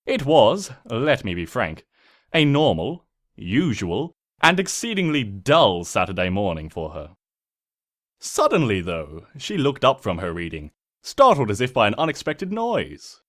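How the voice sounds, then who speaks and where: A man's voice: mid-range voice, wildly swooping pitch, a little slow, a bit bright. A man voicing a smug, mischievous trickster spirit who narrates a comic storybook in a pompous, sardonic, theatrical way.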